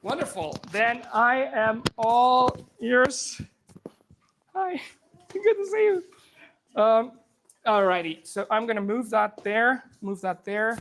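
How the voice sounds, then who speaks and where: A man's voice: sits high, a bit bright, a little hiss or hum. Chatty man from Afghanistan giving a live demo. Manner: talkative, fast-restarting, upbeat and informal. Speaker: software engineer presenting a live demo. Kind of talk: live tech demo talk to a room audience. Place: event room with a live audience. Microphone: handheld or clip microphone.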